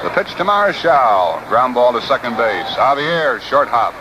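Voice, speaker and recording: A man's voice: medium pitch, normal speed, dull audio. A man with an American accent calling baseball play-by-play as live radio sports commentary on a 1960s broadcast. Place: outdoor ballpark broadcast booth. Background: steady noise and outdoor ambience.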